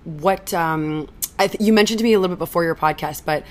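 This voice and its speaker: A woman's voice: on the low side, quick pace. A young woman hosting a casual podcast interview, friendly, often tripping over her words and laughing it off. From Canada. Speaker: podcast host.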